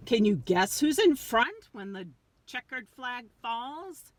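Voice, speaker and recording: Female storyteller for kids' read-aloud. Leisurely delivery, lively swooping intonation, dramatic emphasis. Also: a little high, a little hiss or hum. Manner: dramatic, drawn-out emphasis on key words. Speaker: storyteller reading a picture book aloud. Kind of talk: expressive read-aloud for young children.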